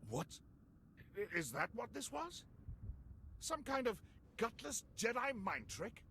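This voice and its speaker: A dazed, indignant male game soldier. His voice is high and gravelly, swinging widely in halting, scornful phrases, with faint noise behind. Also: very animated, sharp tone, full character voice. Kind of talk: dramatic video game acting. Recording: background noise and game ambience.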